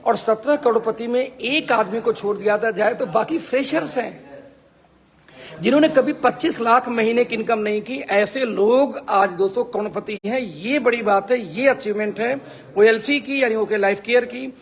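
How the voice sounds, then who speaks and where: A man with a hoarse, gravelly voice that sits high for a man. He leads a sales team and gives an emphatic, pep-talk style live stream, hammering points home by repeating them. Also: normal speed. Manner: emphatic, repeats phrases for effect. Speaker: network marketing team leader. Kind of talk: motivational Facebook live talk.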